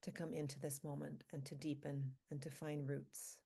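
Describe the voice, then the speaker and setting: A woman leading a guided meditation for a group, speaking calmly and slowly in short, spaced phrases. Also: low-pitched, creaky, slightly rough. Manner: calm, slow, pausing between short phrases. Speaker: meditation leader, physician.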